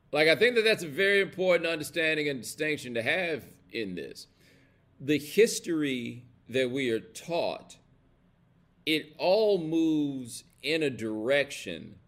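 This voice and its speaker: A man's voice: mid-range voice, a bit bright. An American man hosting a casual, opinionated livestream, talking bluntly and colloquially with emphasis and checking in with his listeners. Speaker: host of a live commentary show.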